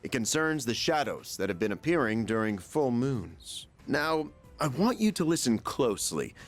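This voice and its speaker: An actor playing an eager middle-aged male chairman and researcher who lectures his team at length. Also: mid-range voice. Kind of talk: video game voice acting.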